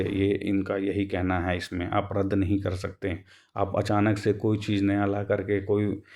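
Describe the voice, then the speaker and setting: A man with a fairly low, very mellow and relaxed voice. He explains like an online host walking through a document, informal and halting, often circling back to rephrase his points. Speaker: YouTube host explaining a court case update.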